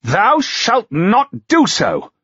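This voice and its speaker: A man's voice: mid-range voice, very animated, normal speed, fairly bright tone, slightly rough, no echo, lo-fi sound, voice pushed up. A man voicing a haughty medieval duke. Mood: indignant. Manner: stern, commanding, old-fashioned speech.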